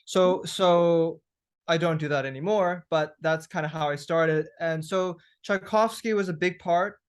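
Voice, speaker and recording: A man from Thailand, a musician guest, answering interview questions thoughtfully with frequent pauses and restarts. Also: a little high, clear, resonant tone. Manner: thoughtful, hesitant, many restarts.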